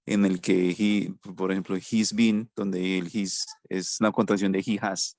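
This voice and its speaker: A man with a lowish, dry voice asks his teacher questions in an online English class. He speaks politely and hesitantly, restarting often, over a video call. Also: flat delivery, a little slow, darkish tone, no room sound. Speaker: student.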